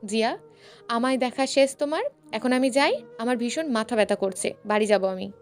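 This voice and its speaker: A woman's voice: on the high side, normal speed, soft background music, close to an everyday voice. A woman voicing a brooding, heartbroken young man in a story, curt and melodramatic. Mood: irritated.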